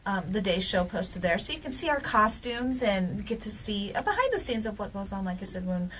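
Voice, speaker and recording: A woman's voice: mid-range voice, sing-song, brisk, fairly bright tone. A woman co-hosting a casual live show, chatting in an informal, giggly way in a noisy room. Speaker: show co-host. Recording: noisy room, somewhat distant mic.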